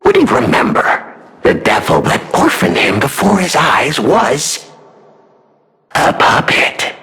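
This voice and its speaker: A man playing a sadistic killer puppet, the self-styled king of riddles, in a video game, with a gravelly voice and a mocking, gleefully dramatic delivery. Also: on the high side, wildly swooping pitch, takes it slowly, sharp tone, full character voice. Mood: sinister relish. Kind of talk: theatrical video game villain monologue.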